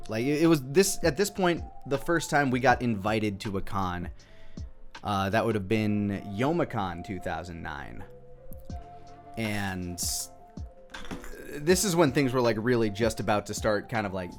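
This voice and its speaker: A man giving casual, off-the-cuff commentary as a video creator, rambling and thinking aloud as he goes. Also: medium pitch.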